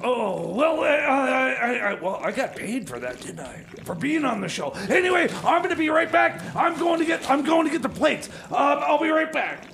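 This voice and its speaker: A man's voice: very animated, moderate pace, few pauses, a bit bright, full character voice. A man playing a flustered, bumbling mechanic in comedy radio theater, stammering and repeating himself. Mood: sheepish, hurried. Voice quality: high-pitched creaky voice. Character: Jeb, flustered, bumbling male time machine mechanic.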